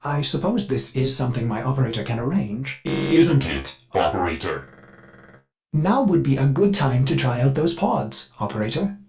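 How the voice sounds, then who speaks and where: An actor voicing a devoted ship computer AI in a video game, fussy, polite and eager with violent outbursts, through glitchy synthetic processing. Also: male voice, mid-range voice, sing-song, normal speed, a little mellow, soft background music. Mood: helpful, devoted. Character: fussy, devoted ship computer AI, male, with flashes of bloodthirsty rage. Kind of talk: scripted video game character lines.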